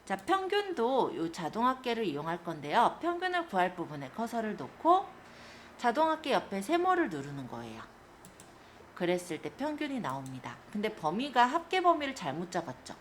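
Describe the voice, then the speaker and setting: A woman instructor giving a polite, step-by-step spreadsheet software tutorial, guiding listeners through each action. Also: mid-range voice, wildly swooping pitch, moderate pace, a little hiss or hum. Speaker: computer skills instructor.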